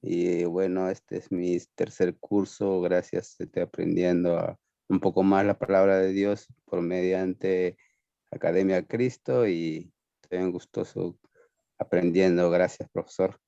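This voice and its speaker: A man greets his class slowly and politely with a gravelly voice. His voice is deep and dark. The call audio is muffled and dry. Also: little pitch movement, dry recording. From Peru. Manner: polite, repeats thanks. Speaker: student. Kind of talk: brief self-introduction on a video call.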